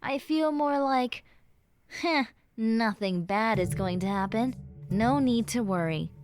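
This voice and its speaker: A woman's voice: on the high side, animated, slow, faint music behind. A woman playing a stressed, caring young best friend, venting earnestly and intimately to the listener in a conversational audio roleplay. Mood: softer, grateful. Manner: conversational, sighing, rambling, earnest.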